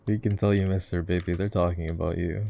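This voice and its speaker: A man acts out an easygoing gamer boyfriend with a fairly deep voice full of vocal fry. He chats casually and affectionately, teasing his partner as he coaches in a roleplay over gameplay. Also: steady tone, quick pace, dark tone, lo-fi sound. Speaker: voice actor. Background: keyboard typing and mouse clicking.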